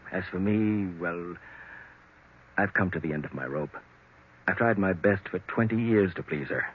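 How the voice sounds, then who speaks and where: Kindly tired old man, very low voice, melancholy, hesitant, old radio drama, thin narrow-band sound. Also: expressive, moderate pace, breathy edge. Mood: defeated, resigned. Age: older. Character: weary, kindly, defeated old uncle. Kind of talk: 1940s radio mystery acting. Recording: tinny, narrow vintage radio recording.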